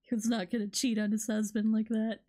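A woman's voice: on the high side, little pitch movement, brisk, warm tone. A woman streamer reading video game dialogue aloud with casual commentary, in a giggly manner that often breaks up her words. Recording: music behind the voice. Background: video game music.

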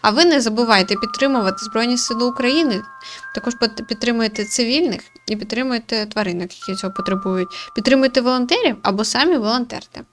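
A woman with a high-pitched voice plays through a game and comments casually. She is chatty and playful, moving between reading lines aloud and muttered side remarks. Music plays clearly behind her. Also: fast talker, a bit bright. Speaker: gaming video creator, player. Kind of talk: casual playthrough commentary, reading visual novel dialogue aloud.

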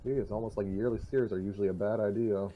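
A mid-twenties man with a lowish voice that sounds older than he is speaks in a dry, measured way. Faint background noise is heard under his voice chat. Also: steady tone, highs cut off. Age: 20s. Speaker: co-commentator. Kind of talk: casual chat on a voice call.

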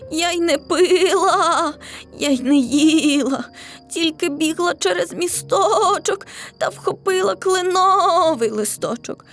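A sly nanny goat from a children's storybook, played by a woman, bleats out rhymes in a very high, singsong whine. The goat is plaintive and boastful, drawing the words out slowly. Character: sly whining female goat, feigning hunger, boastful and menacing.

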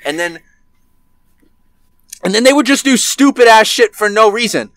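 Young man, 19, heated profane ranter, a bit high-pitched, webcam audio from a bedroom. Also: expressive, moderate pace, fairly bright tone, no room sound. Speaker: podcast co-host. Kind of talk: casual chat.

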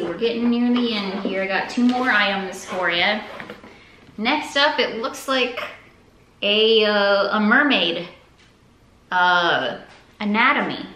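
Young American female vlogger, enthusiastic and chatty, bright tone, echoey home room. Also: a little high. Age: young adult. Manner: upbeat, enthusiastic. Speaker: vlog host. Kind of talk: casual chat to viewers. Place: indoors at home, open room with kitchen. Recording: echoey room, faint background noise.

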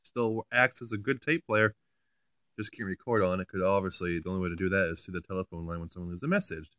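A man's voice: deep voice, fairly even intonation, fast, a bit bright, no room sound. A man talking casually and informally in a rambling, mildly amused way, like someone giving a hands-on hobby demo. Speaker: hobbyist video maker.

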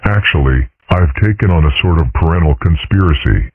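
A man voicing a comic strip character in a measured, knowing tone, with a husky voice. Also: extremely low pitch, animated, no room sound, phone-quality sound. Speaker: comic dub voice actor.

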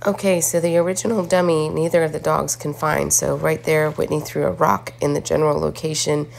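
A woman chats and laughs while filming outside on her family farm, now and then turning to explain things to the camera. Her voice has a gravelly edge, and a faint hum of outdoor noise sits behind it. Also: low voice, brisk. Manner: relaxed, laughs often, narrates for viewers. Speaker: farm vlogger. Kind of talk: casual family chat.

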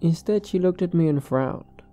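Female horror narrator, deeply mellow timbre, measured scripted read. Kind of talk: scripted first-person narration.

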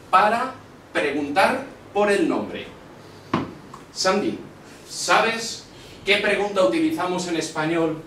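A man in his thirties from Spain, teaching a language class with slow, clear instructions in a small classroom. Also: noisy background. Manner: slow and deliberate, lively questioning pitch. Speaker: Spanish teacher. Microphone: far camera. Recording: echoey classroom from far off.

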